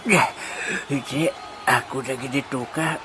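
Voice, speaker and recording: A man's voice: medium pitch, fairly even intonation, a little slow, crowd noise, close to an everyday voice. A man playing a fussy, rambling old grandpa who enters a singing contest, chuckling and grumbling as he goes. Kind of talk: amateur audio drama. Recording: loud background noise.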